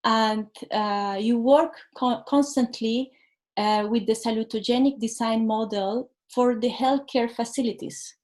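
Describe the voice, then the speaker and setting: Higher-voiced woman host asking questions. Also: fairly even intonation, measured pace, darkish tone. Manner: hesitant, careful phrasing with fillers. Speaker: interviewer, host. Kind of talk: interview questions.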